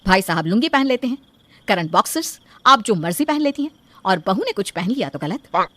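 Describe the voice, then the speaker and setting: A woman's voice: medium pitch, big pitch swings, a little fast, fairly bright tone, dry recording. A woman playing an outspoken, modern middle-aged mother in a cartoon story, teasing and assertive. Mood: indignant scolding. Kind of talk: animated cartoon story acting.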